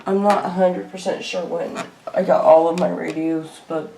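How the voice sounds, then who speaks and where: A woman giving a casual, unscripted show-and-tell at home in a halting way, as a hobbyist vlogger. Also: on the low side, fairly even intonation, creaky, mellow. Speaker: weather radio hobbyist vlogger. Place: indoor room at home. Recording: noisy homemade video with clicks.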